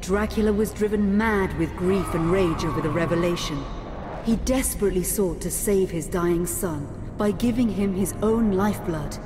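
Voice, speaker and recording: A woman performing as a solemn storyteller of gothic legend, narrating a game cutscene in a grave, measured, portentous manner. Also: mid-range voice, natural voice. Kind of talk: scripted dramatic narration. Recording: reverberant voice over loud music. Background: music.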